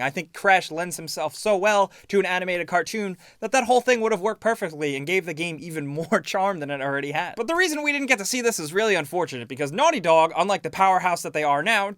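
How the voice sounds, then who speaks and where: A man's voice: high-pitched, a bit bright, no room sound, studio quality. A young man hosting a gaming video, giving casual commentary in a chatty, enthusiastic way. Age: young adult. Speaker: video game channel host.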